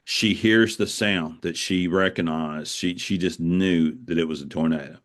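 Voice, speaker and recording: A man with a deep, low voice talks in a reflective interview style. He is earnest and halting, circling back to repeat phrases as he tells his stories. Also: fairly even intonation. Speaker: author being interviewed. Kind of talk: reflective conversational storytelling.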